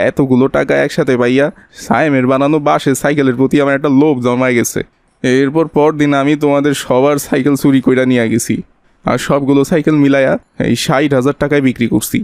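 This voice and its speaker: Casting: male actor as sly neighbourhood bike thief, cartoon, Bangladeshi dialect, wheedling, mid-pitched, dry close sound. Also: a little mellow, dry recording, lightly acted. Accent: colloquial Bangladeshi Bengali dialect. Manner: colloquial, excuse-making. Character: sly, wheedling petty bicycle thief, adult man. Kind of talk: cartoon voice acting.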